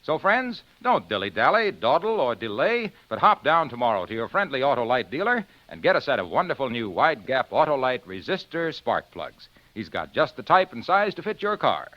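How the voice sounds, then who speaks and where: A man's voice: medium pitch, big pitch swings, normal speed, hardly any pauses. An American man working as an old-time radio announcer, delivering scripted sales copy with emphatic, folksy patter. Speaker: radio announcer and commercial pitchman. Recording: dull, lo-fi old radio recording.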